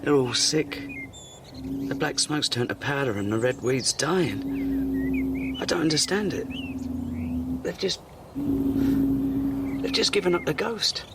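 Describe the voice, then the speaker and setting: A man's voice: a little high, big pitch swings, slow, pauses often, full character voice. A man playing an overwrought survivor of a Martian invasion in an audio drama, breathless and exclaiming. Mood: awed, relieved. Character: overwrought male survivor witnessing the Martians' collapse. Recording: very noisy outdoor location recording.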